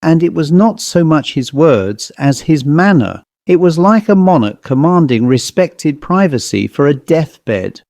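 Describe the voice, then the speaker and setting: A man's voice: on the high side, lively intonation, measured pace, few pauses, a little mellow, dry recording, clean studio sound, voice pushed up. A man voicing an earnest, shaken male explorer in an audiobook horror story, hesitant and grave as he recounts eerie things. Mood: grave, insistent.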